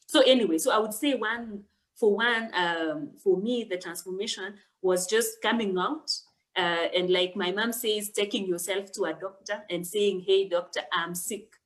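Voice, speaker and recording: A young woman from Kenya, a guest author, answering questions thoughtfully with frequent pauses and fillers. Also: mid-range voice, rough recording. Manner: thoughtful, many fillers and restarts. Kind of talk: casual interview answer.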